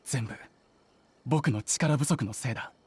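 A man's voice: mid-range voice, a little slow, darkish tone, breathy edge, dry recording. A man voicing an earnest young nobleman in an anime game, burdened and sober, with formal speech. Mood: ashamed. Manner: formal, sober, self-reproaching.

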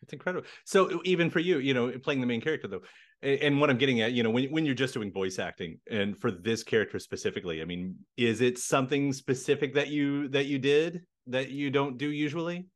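Relaxed male interviewer. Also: medium pitch, a little fast. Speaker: interviewer host. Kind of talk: casual interview. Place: home room with posters.

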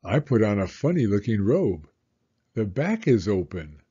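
A man's voice: fairly low pitch, husky, lo-fi sound. Older man reading a children's storybook. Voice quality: gentle, expressive storyteller reading in a slow, sing-song way. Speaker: storytime host, retired-age teacher reading aloud. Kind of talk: children's read-aloud narration.

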